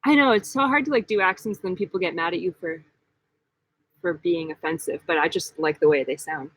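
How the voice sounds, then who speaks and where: A woman's voice: lowish voice, brisk, slightly rough, no echo, low-quality recording. A young woman hosting a casual live-stream Q&A, chatty and giggly, full of likes. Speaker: vlog host.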